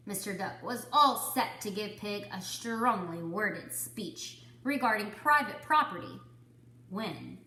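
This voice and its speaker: A woman reading a children's story to kindergarteners, with deliberate, dramatic phrasing. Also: mid-range voice, sing-song, bright, forceful tone, echoey room, rough recording. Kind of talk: expressive children's story narration.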